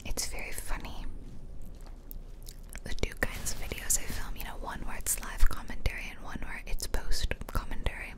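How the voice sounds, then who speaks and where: A woman giving ASMR game commentary in a soft, chatty, self-deprecating way, close up on the mics. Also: moderate pace. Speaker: gaming video host. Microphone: close ear-to-ear stereo mics.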